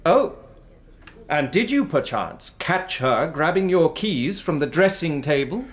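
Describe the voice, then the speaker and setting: A man's voice: fairly high pitch, big pitch swings, a little slow. A man playing a stern, sarcastic courtroom interrogator in a radio drama, pompous and theatrical. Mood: sarcastic, probing.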